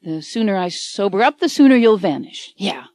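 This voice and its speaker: A woman's voice: medium pitch, lively intonation, normal speed, darkish tone, dry recording, close to an everyday voice. A hungover male writer, flustered and exasperated, grumbling his way through a baffling morning, as a woman narrator puts him on. The sound is dry and close.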